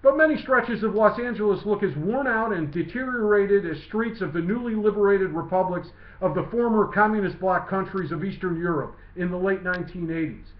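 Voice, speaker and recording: A man reading his own literary essay aloud in a measured, declamatory way. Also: sits high. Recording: muffled lo-fi, roomy echo.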